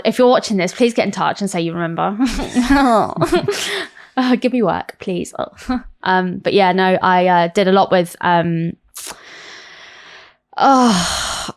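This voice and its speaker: A woman in her thirties with an English accent, a guest on a podcast who tells stories about her life in an animated, swearing, self-mocking way. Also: medium pitch. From South London. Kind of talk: candid interview chat.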